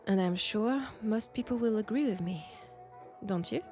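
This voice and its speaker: A woman's voice: mid-range voice, mellow, faint music behind, natural voice. A woman playing a mysterious, flirtatious Frenchwoman in 1940s England, speaking with a French accent in an intimate, coy roleplay audio drama. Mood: wistful, conversational. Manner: unhurried, coy, conversational with pauses.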